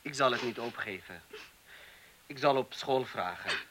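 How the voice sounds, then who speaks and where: An actor in a radio drama playing a gentle young student teacher who speaks soothingly. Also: male voice, medium pitch, noisy background, lightly acted. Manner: soothing, slow.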